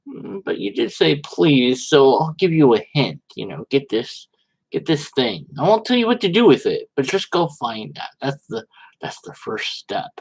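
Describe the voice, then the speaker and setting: A man's voice: on the high side, sing-song, normal speed, vocal fry, breathy edge, full character voice, poor audio. A man playing a haughty, self-righteous believer in a mocking dramatic reading, pompous and condescending. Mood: mock-offended, smug. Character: haughty, self-righteous believer withholding proof from pesky skeptics.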